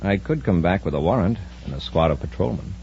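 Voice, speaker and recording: Heroic male commander for vintage sci-fi radio: low, mellow, authoritative, quick, dull old recording. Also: expressive, husky, full character voice. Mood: politely firm. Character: calm, authoritative male space patrol commander. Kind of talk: 1950s radio serial acting. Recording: muffled, noisy old-time radio recording.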